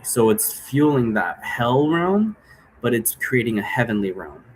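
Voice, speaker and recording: A man's voice: on the low side, slight background noise, rough recording. A man casually explaining his role-play game in a rambling way, often checking for agreement with tag questions. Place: indoor room.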